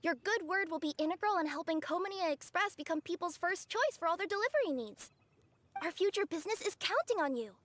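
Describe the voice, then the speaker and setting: Perky, high-voiced young courier girl. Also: lively intonation, brisk, fairly bright tone, a little hiss or hum. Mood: eager. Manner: cheerful, businesslike, playfully polite. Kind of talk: video game voice acting. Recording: background music under voice.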